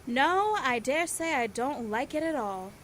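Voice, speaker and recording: Woman playing a high-voiced imperious superheroine. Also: expressive, measured pace, fairly bright tone, a little hiss or hum. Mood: haughty. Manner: haughty, formal. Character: proud, imperious female weather-goddess superhero. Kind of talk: superhero audio drama acting.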